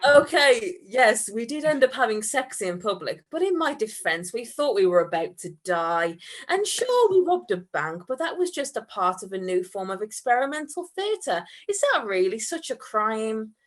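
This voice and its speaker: A bossy, theatrical bank robber played by a woman reading a comic story. He sounds like an exasperated amateur showman, sarcastic and a little high in pitch. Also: very animated, normal speed, few pauses. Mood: pleading.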